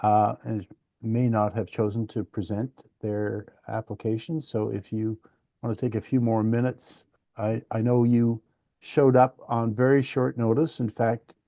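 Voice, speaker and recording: A man's voice: on the low side, little pitch movement, measured pace, warm tone, no echo. A man chairing a municipal council meeting, mixing casual asides with formally read motions.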